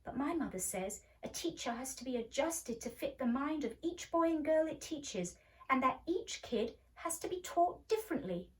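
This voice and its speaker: Woman reading as a stubborn, high-voiced schoolgirl. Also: expressive, moderate pace, recorded from a distance, rough recording. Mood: questioning. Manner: argumentative, doubtful. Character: stubborn, scornful schoolgirl who dislikes lessons.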